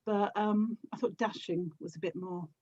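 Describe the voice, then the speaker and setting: Gentle woman guest, slightly dull lo-fi sound. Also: medium pitch, steady tone, moderate pace, dry recording. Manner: gentle, giggly. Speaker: podcast guest, audio drama producer. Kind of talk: casual chat.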